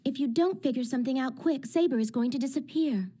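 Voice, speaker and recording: A woman playing a sharp, pragmatic teenage girl in an English anime dub, explaining in a crisp, self-assured, matter-of-fact way. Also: high-pitched, fast talker. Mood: cool, probing. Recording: loud music bed under dialogue.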